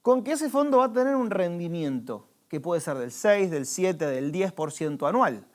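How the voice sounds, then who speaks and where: A man's voice: sits high, very animated, moderate pace. A man with an Argentine Spanish accent, a financial adviser patiently explaining savings with examples and some hesitant restarts. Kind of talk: explanatory conversation.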